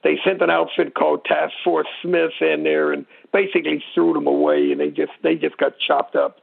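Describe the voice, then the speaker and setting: A man with a gravelly, husky voice explaining history informally as an interview guest, rambling and digressing as he tells it. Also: fairly high pitch, level tone, moderate pace. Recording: muffled narrow phone-like line.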